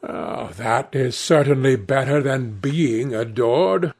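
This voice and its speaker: A man's voice: medium pitch, slow, gravelly, a little mellow, breathy edge, full character voice. A man voicing a witty, cynical aristocrat in an audiobook, with a nonchalant, pompous, epigrammatic manner. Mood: languid cynicism. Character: languid witty cynical male aristocrat, urbane and teasing. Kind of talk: audiobook character dialogue.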